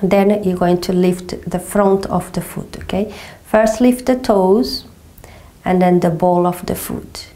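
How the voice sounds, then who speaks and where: Slow, mellow Portuguese-accented woman instructor. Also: lowish voice. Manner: slow, gentle, calming cues with frequent "okay?". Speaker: movement teacher. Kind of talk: guided somatic exercise instruction. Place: small carpeted room indoors.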